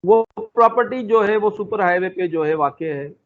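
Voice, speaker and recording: Male law lecturer, Pakistani accent, high-pitched voice, informal and halting as he explains, heard over thin phone-quality audio. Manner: halting, explains through everyday examples. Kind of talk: informal explanatory lecture mixing in English terms. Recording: muffled, lo-fi phone-like sound.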